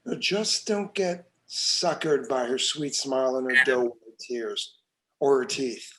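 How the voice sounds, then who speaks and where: An actor in a screenplay table read playing a guarded, cynical police detective, brisk and matter-of-fact. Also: male voice, medium pitch, fairly bright tone, rough recording. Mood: wry, cynical.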